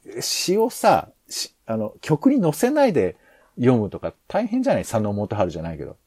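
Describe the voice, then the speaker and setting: A man's voice: medium pitch, wildly swooping pitch, normal speed, mellow, slightly rough, no echo. A man co-hosting a podcast in casual planning discussion, hesitant and rambling as he thinks aloud. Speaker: podcast co-host.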